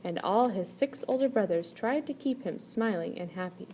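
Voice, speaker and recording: A woman reading a children's story aloud as an expressive storyteller. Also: medium pitch, normal speed, low-quality recording. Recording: clear background noise.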